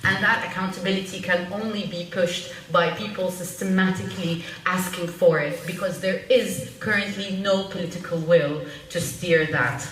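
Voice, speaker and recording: A woman giving an earnest, urgent public talk as a panel speaker, often pausing to find her words. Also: fairly low pitch, steady tone, fairly bright tone. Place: public meeting room at a panel event. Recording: echoey room from far off, faint noise.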